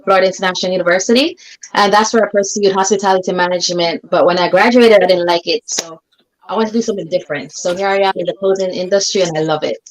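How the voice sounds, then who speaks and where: A woman's voice: medium pitch, rough recording. A young woman from Jamaica, a guest business owner, telling her story in an upbeat casual chat.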